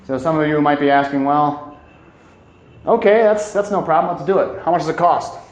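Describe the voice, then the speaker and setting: A man presenting an informal educational talk, speaking emphatically and haltingly, often repeating key phrases for weight. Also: a little high, muffled recording. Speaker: presenter giving a climate talk. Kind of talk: informal educational lecture to a live audience. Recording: echoey room.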